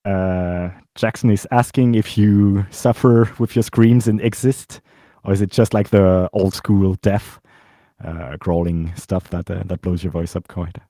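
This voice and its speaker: A man with a very low voice hosting a casual chat and passing on viewer questions. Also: measured pace, dry recording, studio quality. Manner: relaxed, reads viewer questions. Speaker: host. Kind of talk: casual chat over a stream.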